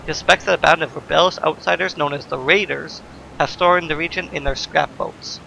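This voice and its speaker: A man hosts a let's play in an informal, explanatory way. His voice sits a bit high and has a lot of creak, with faint noise in the background. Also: steady tone, a little fast, pushed and bright, lo-fi sound. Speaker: gaming video host. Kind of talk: casual let's play commentary.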